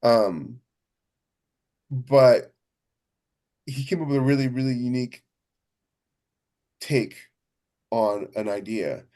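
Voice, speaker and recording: A male podcast co-host with an average-pitched voice. He chats casually and enthusiastically, leaning hard on his points and circling back to repeat phrases. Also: lively intonation, slow, pauses often, dark tone. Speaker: podcast co-host and science fiction author. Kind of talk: casual chat.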